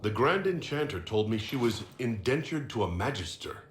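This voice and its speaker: A man's voice: mid-range voice, lively intonation, normal speed, lightly acted. An actor playing a firm, pragmatic hero in a video game, direct and assertive as he negotiates. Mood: businesslike, firm. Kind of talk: dramatic video game acting. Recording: roomy echo, faint noise.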